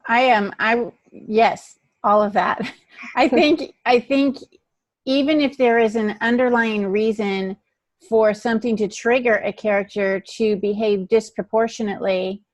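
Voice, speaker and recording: A woman's voice: mid-range voice, no room sound. A woman co-hosting a writing podcast, speaking casually and emphatically as she discusses the craft. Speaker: writing podcast co-host and novelist.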